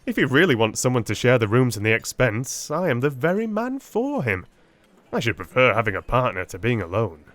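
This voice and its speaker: A man voicing an earnest, blunt, war-weary Victorian army doctor in expressive audiobook dialogue. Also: medium pitch, a little fast, no room sound. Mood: eager. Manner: forthright, expressive.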